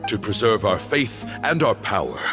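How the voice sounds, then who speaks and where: Very deep voice, grave commanding game cult leader, formal but swoops around, music behind. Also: male voice, very animated, normal speed. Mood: solemn, measured. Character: stern temple leader, bitter, solemn and commanding. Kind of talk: dramatic video game voice acting. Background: background music.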